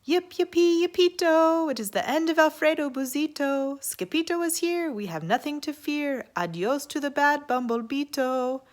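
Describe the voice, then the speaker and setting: Excitable pack of small cartoonish dogs for a storybook, all done by one woman. Very high, chanting, singsong. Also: moderate pace, few pauses. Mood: jubilant. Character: jubilant pack of singing little storybook Chihuahuas.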